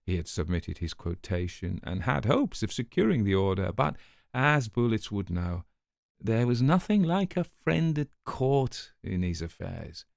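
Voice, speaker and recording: Sardonic older raconteur for radio drama: low, creaky voice, urbane and precise. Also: male voice, sing-song, a little slow, darkish tone, no room sound, studio quality, heavily acted. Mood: dry amusement. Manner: urbane, dryly ironic, precise wording. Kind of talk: wry storytelling in a radio drama.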